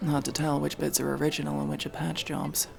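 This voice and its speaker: A man playing a guarded but kind armored adventurer in a fantasy roleplay audio drama, gravelly, dry and gently teasing. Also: a little high, monotone, a little fast, over music. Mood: dry, reassuring. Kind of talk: immersive roleplay audio drama, one-sided conversation.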